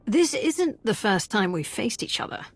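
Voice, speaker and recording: An actress playing a tough, sceptical warrior in a video game, husky, dry and challenging. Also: female voice, on the high side, very animated, moderate pace, no echo, faint music behind. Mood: wry defiance. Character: tough, sceptical female warrior, wryly defiant. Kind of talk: dramatic video game acting.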